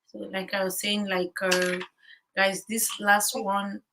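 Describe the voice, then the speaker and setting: A woman's voice: medium pitch, level tone, takes it slowly, background noise. A woman talking casually about life as a new mother, chatty with many fillers and repeated words. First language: English. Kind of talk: casual chat. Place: home in Korea.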